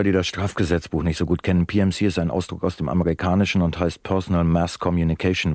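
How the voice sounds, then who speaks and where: An actor playing an earnest male radio interviewer in a satirical drama, asking and explaining in a polite, measured manner. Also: low voice, a little mellow, dry recording, clean studio sound. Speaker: radio host.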